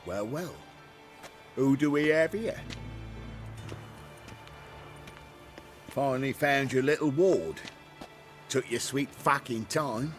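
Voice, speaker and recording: An actor playing a brash, excitable shapeshifter who poses as a crime boss in a fantasy game. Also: male voice, medium pitch, measured pace, faint music behind, close to an everyday voice. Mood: sneering, menacing. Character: shapeshifter posing as foul-mouthed crime boss. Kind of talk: video game dialogue.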